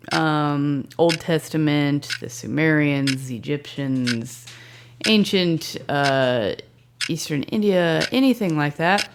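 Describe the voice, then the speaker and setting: Low-voiced tipsy woman, wry and blunt. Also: background noise. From Central California. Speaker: podcast co-host. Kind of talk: casual late-night podcast chat.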